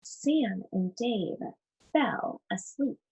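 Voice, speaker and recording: A woman teacher reading a picture book aloud to children, expressive and speaking directly to her young listeners. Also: mid-range voice, very slow, gravelly, husky, low-quality recording. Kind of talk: children's read-aloud with chatty asides.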